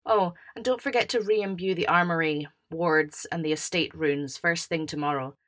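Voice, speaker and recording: A woman's voice: fairly low pitch, moderate pace, a bit bright, close to an everyday voice. A woman playing an overbearing, self-important elder brother with a preachy, over-hearty manner in a fan audio drama. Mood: hearty, patronizing.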